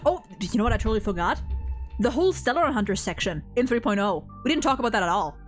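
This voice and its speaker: A woman's voice: a little high, expressive, fast, faint music behind. A woman in casual gaming fan chat, co-hosting with effusive delivery and frequent swearing. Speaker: co-host.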